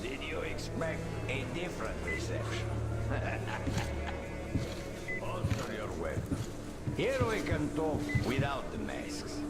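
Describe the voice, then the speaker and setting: Gravelly, slow-talking warlord baron. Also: male voice, medium pitch, huge pitch swings, loud music behind the voice, heavily acted. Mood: smug calm. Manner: deliberate, courteous, pompous, philosophizing. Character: suave menacing warlord baron, composed and philosophical. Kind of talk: dramatic video game cutscene acting.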